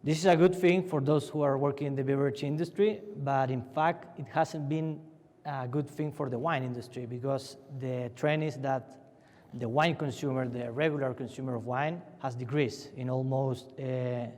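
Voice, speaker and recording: A man's voice: mid-range voice, steady tone, normal speed, a little mellow. Chilean man in an echoing conference hall. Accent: Chilean Spanish. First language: Spanish. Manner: loose and chatty, many restarts and fillers. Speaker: panelist from a family winery. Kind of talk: conference fireside chat. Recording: long hall reverb.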